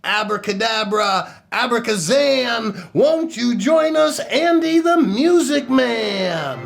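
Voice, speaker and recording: Animated, slow-paced children's storytime host, a man. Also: extremely high pitch, vocal fry, soft background music. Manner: theatrical, exaggerated delivery with sing-song exclamations and pauses. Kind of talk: playful children's show presenting with dad jokes.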